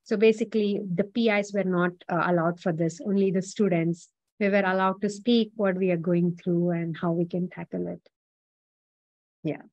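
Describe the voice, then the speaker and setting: A woman's voice: lowish voice, level tone, moderate pace, a little mellow, dry recording, highs cut off. A graduate student woman on an advice panel, talking casually and encouragingly with many filler words.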